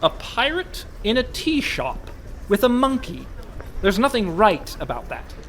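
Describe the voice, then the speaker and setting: Worried sarcastic con man in a pirate radio comedy, highish voice that swings around. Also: sing-song, measured pace, a bit bright, a little hiss or hum, heavily acted. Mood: sardonic. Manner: dry, exasperated, worrying. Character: fretful, sardonic con man posing as a pirate merchant. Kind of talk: comic radio play acting.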